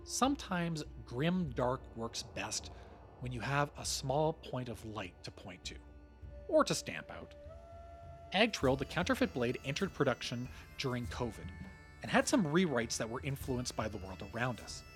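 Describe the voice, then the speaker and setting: Animated male podcast host. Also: medium pitch, a little slow, soft background music. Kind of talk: scripted podcast narration.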